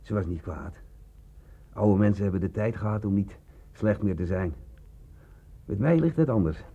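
A man in a radio drama playing a sick, wry young man in hiding, with a rough voice and an ailing delivery. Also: on the low side, animated, moderate pace. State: coughing, ill with pneumonia. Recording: muffled, tinny lo-fi radio play recording.

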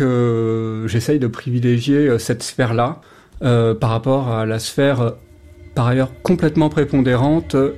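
A man's voice: medium pitch, warm tone. A man, a journalist, answering interview questions on the radio with frequent hesitations. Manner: hesitant, many fillers. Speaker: journalist guest.

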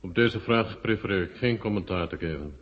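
A man playing a stern, guarded Soviet marshal in a Dutch radio play, formal and deliberate. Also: deep voice, natural voice. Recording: dull, muffled 1970 radio recording.

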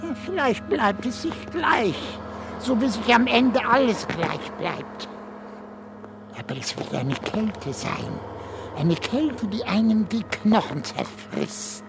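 A man's voice: high voice, lively intonation, gravelly, background noise, heavily acted. An older man playing a dying old goatherd in a radio drama, bitter and fatalistic, with a thin, frail, brooding delivery. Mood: grim, brooding. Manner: slow, brooding, ominous.

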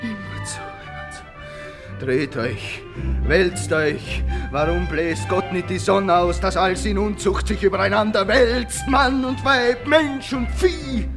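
A man's voice: high-pitched, slow pace, music in the background. An actor playing a feverish young soldier losing his mind in a German radio play, speaking in obsessive, broken fragments. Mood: frenzied anguish. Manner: obsessive repetition in broken fragments, swinging between whisper and outburst.

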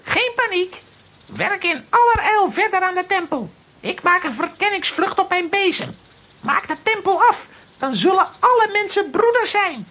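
Bossy witch, very high pitch, bright sharp tone, decisive, energetic, children's radio drama. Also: moderate pace, exaggerated voice. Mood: brisk, determined. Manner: decisive, ordering others about. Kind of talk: children's radio play acting.

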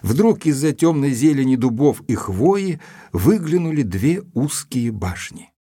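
An actor playing a gentleman narrator of a mystery tale, measured and expressive, in a literary audio drama. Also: mid-range voice, husky, dry recording. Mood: sombre, atmospheric. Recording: dry studio with music underneath.

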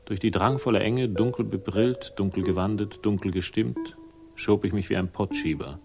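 An actor playing a wry, world-weary private investigator in a radio crime drama, speaking in clipped, ironic, teasing phrases. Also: male voice, on the low side, fairly even intonation, normal speed, gravelly, over music. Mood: wry narration. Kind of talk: 1960s German radio crime drama, dialogue and narration.

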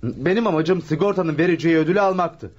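An actor playing a shrewd, self-assured amateur detective in a Turkish radio drama, speaking in a measured, polite, slightly sly way. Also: male voice, a little high, husky. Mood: self-justifying. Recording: muffled lo-fi radio drama recording.

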